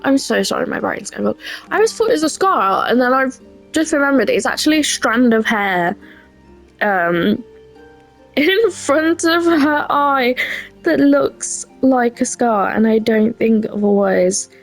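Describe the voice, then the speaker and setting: Woman, young, congested from a cold, gravelly, unhurried, casual gaming chat. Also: on the high side, animated, faint music behind, rough recording. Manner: chatty, self-deprecating, rambling with fillers. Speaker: gaming creator. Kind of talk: casual gameplay commentary.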